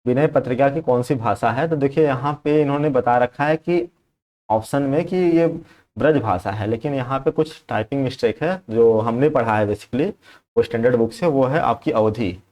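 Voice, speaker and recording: Young male tutor, mid-pitched voice, chatty, repeats points and checks in often. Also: moderate pace, a little mellow. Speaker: exam prep teacher. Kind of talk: explaining exam questions to viewers.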